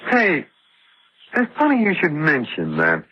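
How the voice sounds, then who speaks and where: An actor playing a spooked, husky-voiced camp worker in a radio horror drama. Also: male voice, fairly high pitch, huge pitch swings, measured pace, exaggerated voice. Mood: uneasy. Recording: dull lo-fi radio recording.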